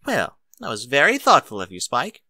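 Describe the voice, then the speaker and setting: A man acts out a genteel lady from a storybook, polite and a little fussy. Her lines swing expressively up and down with a bright tone, in a dry, close recording. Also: medium pitch, huge pitch swings, normal speed, no echo, voice pushed up, full character voice. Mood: polite, gracious.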